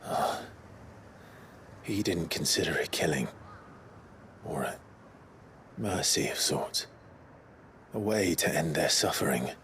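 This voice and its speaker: An actor playing a grim, weary, protective warrior in a video game, grave, measured and hushed at times. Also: male voice, a bass voice, lively intonation, slight background noise, heavily acted. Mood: somber. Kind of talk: dramatic video game acting. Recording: loud dramatic music behind.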